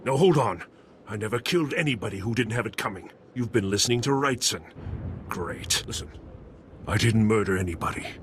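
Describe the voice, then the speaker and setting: Man playing a low-voiced, shady bounty hunter. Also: animated, a little fast, slight background noise, close to an everyday voice. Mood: defensive. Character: shady, gruff male alien bounty hunter. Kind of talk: dramatic video game acting.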